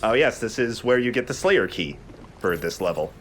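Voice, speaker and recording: Animated low-voiced man doing gaming commentary. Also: normal speed. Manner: joking, sweary banter. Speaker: let's play host playing the game. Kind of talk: casual gaming commentary with friends. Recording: faint background noise.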